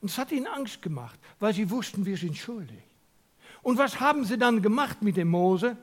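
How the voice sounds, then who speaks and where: Dutch-accented male preacher, high and lively voice, emphatic, questions the congregation. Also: normal speed. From Netherlands. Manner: swings from loud to near whisper. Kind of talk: sermon.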